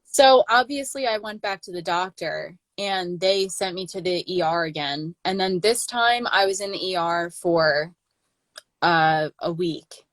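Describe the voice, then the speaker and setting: Young woman with a low voice, chatty. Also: measured pace, no room sound. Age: 20s. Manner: rambling, many "like" fillers and restarts. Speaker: channel host. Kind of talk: casual livestream chat.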